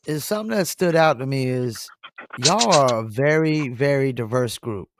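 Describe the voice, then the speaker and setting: A man hosting a casual music interview, asking relaxed, admiring questions. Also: medium pitch, moderate pace, noisy background. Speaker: interviewer host.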